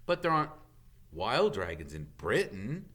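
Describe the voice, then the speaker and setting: A man's voice: fairly low pitch, huge pitch swings, unhurried, a bit bright, heavily acted. A man voicing an earnest, polite and inquisitive young schoolboy in a storybook.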